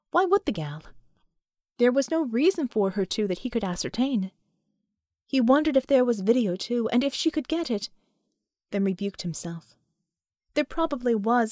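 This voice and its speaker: A woman's voice: a little high, a little mellow, dry recording, studio quality. A woman reading a story aloud as scripted audiobook narration.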